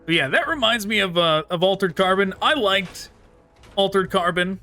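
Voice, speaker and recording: Male gaming streamer, high voice, informal amused musing, casual commentary over soft music. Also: normal speed. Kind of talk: casual let's play commentary.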